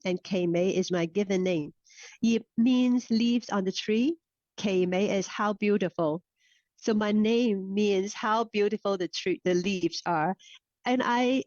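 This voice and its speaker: A middle-aged woman, a Cantonese speaker, answers interview questions in a halting way, repeating and restarting her words. Her voice sits at an ordinary pitch. The recording is dry and a little dull. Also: a little mellow, no echo. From Hong Kong. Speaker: guest. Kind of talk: casual interview chat. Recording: slightly dull, dry sound.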